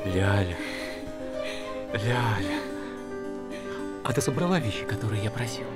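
A man's voice: on the low side, lively intonation, unhurried, loud music behind the voice. An actor playing an evasive, weary man in his thirties who placates a lover in a naturalistic TV melodrama. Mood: weary, placating. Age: 30s. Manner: placating, reluctant. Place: furnished room indoors.

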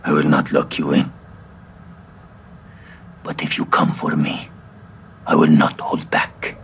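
An actor playing a defiant, grave militant in a video game, speaking intensely and solemnly. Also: male voice, extremely low pitch, flat delivery, takes it slowly, gravelly, a bit bright, slight background noise, exaggerated voice. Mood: defiant, urgent. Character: zealous militant brother, fighter, defiant and grave. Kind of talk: cinematic video game acting.

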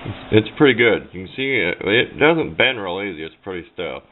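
Low-voiced man, tinny noisy recording. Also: animated, moderate pace. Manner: folksy, plain-spoken, colloquial grammar. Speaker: DIY radio hobbyist demonstrating. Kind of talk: casual hands-on tutorial narration. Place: at a workbench. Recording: muffled phone-like audio, background noise.

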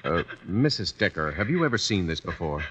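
An actor playing a brisk, probing police lieutenant in a 1950s radio crime drama. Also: male voice, deep voice, very animated, fairly bright tone, slightly rough, heavily acted. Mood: businesslike. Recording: dull, faintly noisy vintage radio recording.

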